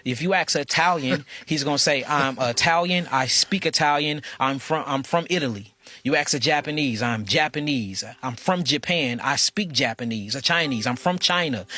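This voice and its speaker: A man's voice: a little high, sharp tone, no echo. A man giving an impassioned, preachy monologue, repeating his phrases insistently. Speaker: speaker.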